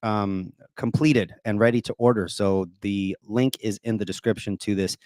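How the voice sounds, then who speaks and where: Soft-spoken man hosting a live stream. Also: on the low side, fairly even intonation, no echo. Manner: soft-spoken, deliberately quiet to save his voice; rambling with many fillers. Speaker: host of apologetics live stream. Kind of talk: casual live stream talk.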